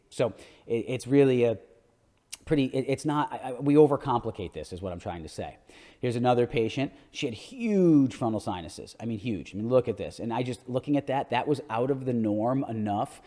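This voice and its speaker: A man's voice: fairly low pitch, dark tone. A man speaking as an orthodontist coach, giving an explanatory clinical lecture in an emphatic, informal, opinionated way.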